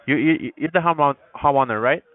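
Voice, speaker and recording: A man's voice: on the high side, a little fast. Hesitant man on a tinny phone line. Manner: hesitant, repeats himself. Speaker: roofing company caller. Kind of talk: phone sales call.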